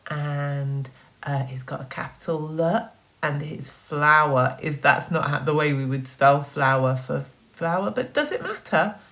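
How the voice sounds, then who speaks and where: A woman teacher reading a children's story aloud in an expressive, encouraging manner, talking directly to young listeners. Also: low voice. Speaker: primary school teacher.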